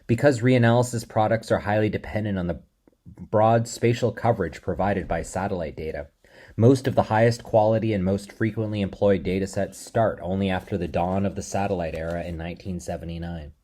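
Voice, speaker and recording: A man delivering a scripted lecture in a measured, steady explanatory way. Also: low-pitched.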